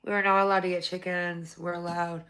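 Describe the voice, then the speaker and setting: A woman hosting a casual livestream from her phone, reading viewer comments, her voice going raspy from talking so long. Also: on the low side, brisk. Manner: chatty, excited when thanking viewers for gifts. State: losing her voice, getting raspy. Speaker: livestream host.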